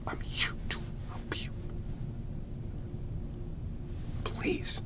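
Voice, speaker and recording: A man playing a weary, desperate cleanup agent in an ASMR roleplay, pleading in halting, breathy whispers and murmurs. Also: natural voice. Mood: urgent, pleading.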